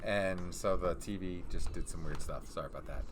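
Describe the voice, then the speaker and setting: Guy with a low voice doing a casual countdown vlog, rambles and corrects himself, noisy home recording. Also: normal speed. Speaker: host of a countdown video. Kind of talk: casual unscripted countdown commentary. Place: room at home.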